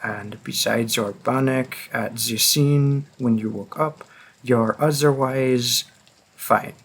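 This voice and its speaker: A man playing a centuries-old vampire hunter, coolly menacing, deliberate and wry, in a dramatic audio roleplay with a husky voice. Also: mid-range voice. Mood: intrigued. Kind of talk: slow dramatic second-person roleplay monologue.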